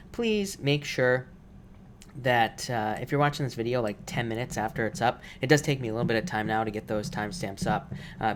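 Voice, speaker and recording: A man with a voice made rough by allergies, reading tarot cards on a live stream in a casual, repetitive way with many fillers. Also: medium pitch, heavy background noise. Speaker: tarot reader.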